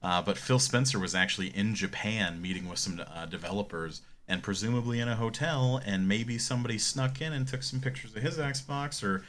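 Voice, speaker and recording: A man with a fairly low voice that has a clear bright edge. He talks like a podcast co-host, casual and opinionated, laying out his reasoning as he goes. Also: a little fast, few pauses. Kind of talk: casual gaming tech discussion.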